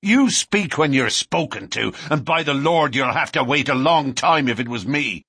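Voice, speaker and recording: A surly young man, loud and defiant, spitting his words with cold fury, as a male narrator puts him on. The voice is husky with a lot of swing in pitch. The recording is close and dry. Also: expressive, normal speed, no room sound, exaggerated voice. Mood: furious. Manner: loud and fierce, shouting.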